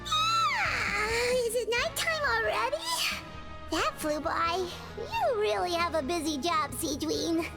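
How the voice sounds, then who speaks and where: Tiny fairy companion, extremely high and squeaky, excitable, animated swoops, game audio with music. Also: female voice, takes it slowly, creaky, full character voice. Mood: surprised. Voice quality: shrill, squeaky. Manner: speaks of herself in third person, exclaiming. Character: tiny excitable floating fairy sidekick, childlike, female. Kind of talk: scripted game voice acting.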